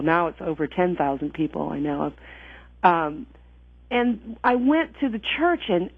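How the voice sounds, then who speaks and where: A woman's voice: on the low side, dry recording. A woman guest earnestly recounting her own faith journey, thinking aloud and correcting herself as she goes, heard over a phone line. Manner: earnest, reflective, self-correcting. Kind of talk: personal testimony in conversation.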